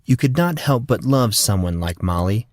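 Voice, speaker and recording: A man's voice: fairly low pitch, animated, moderate pace, a little mellow, no room sound, studio quality. A man reading scripted fiction as an audiobook narrator.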